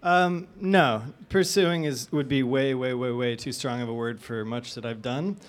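A man on a panel answering questions in a monotonous, hesitant way. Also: medium pitch, a little slow. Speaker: panel guest.

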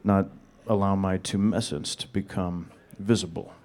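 Deadpan monotone man. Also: sits low, unhurried. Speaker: panel guest. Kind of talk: audience Q&A answers.

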